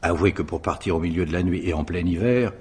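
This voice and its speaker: A husky, probing police commissioner. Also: male voice, low voice, darkish tone. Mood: probing, dry. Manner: measured, insinuating questioning. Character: probing, dry, methodical male police commissioner. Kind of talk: French radio mystery drama acting.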